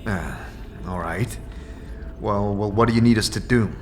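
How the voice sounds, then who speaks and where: A man's voice: on the low side, measured pace, lightly acted. A man playing a weary, wry and reluctant immortal male adventurer in a fantasy audio drama, with a dry, sceptical delivery. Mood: resigned. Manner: dry, sceptical, stammering in protest.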